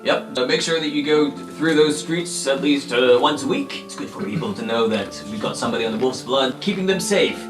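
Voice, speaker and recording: A man's voice: medium pitch, a little fast, few pauses, echoey room, recorded from a distance, music in the background, close to an everyday voice. A man playing a peppy, condescending superhero teammate handing out orders in an improvised roleplay podcast. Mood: chipper, instructive.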